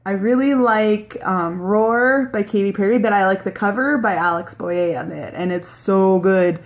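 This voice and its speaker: A woman's voice: mid-range voice, moderate pace. A woman in her late thirties chatting casually through a get-to-know-me vlog, rambling with many ums and likes. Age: 30s. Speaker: vlogger. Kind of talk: casual chat answering a tag video.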